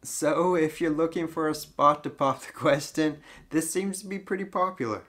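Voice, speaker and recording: A young man narrating his road trip vlog in a relaxed, easygoing way. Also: on the high side, moderate pace. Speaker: travel vlogger.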